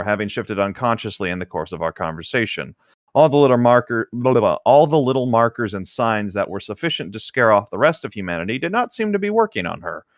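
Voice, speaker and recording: A man's voice: lowish voice, no echo. A man reading a novel aloud as co-host of a read-along, with casual commentary.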